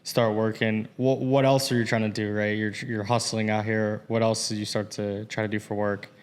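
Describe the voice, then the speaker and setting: A man's voice: fairly low pitch, little pitch movement, moderate pace, clear, resonant tone, long reverb tail. A man hosting an interview, encouraging his guest with frequent "mm-hmm" and "yep" and asking follow-up questions. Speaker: podcast host. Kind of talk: casual interview chat.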